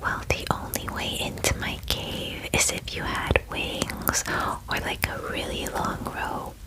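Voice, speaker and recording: A woman's voice: unhurried, close to an everyday voice. Woman as a whispering, friendly dragon girl. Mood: reassuring, chatty. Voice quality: soft, breathy whisper. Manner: chatty, gushing, hesitant, reassuring. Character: friendly, eager female dragon in cute human form. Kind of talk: whispered ASMR fantasy roleplay.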